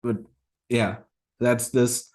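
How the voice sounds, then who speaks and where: A man in a casual discussion, speaking reflectively and haltingly, often restarting his phrases as he works through a thought. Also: medium pitch, flat delivery, darkish tone.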